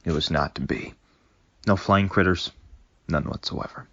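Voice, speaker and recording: Deep husky man reading a story. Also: a little slow, pushed and bright, lo-fi sound. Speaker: fanfic reader. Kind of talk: reading a fanfic aloud.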